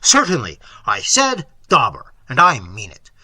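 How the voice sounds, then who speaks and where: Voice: mid-range voice, wildly swooping pitch, measured pace, pushed and bright, breathy edge, no room sound, heavily acted. A narrator voicing an earnest, indignant young male suitor, boldly defiant and emphatic, in a story reading. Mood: fierce, defiant. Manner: emphatic, hissing, declamatory.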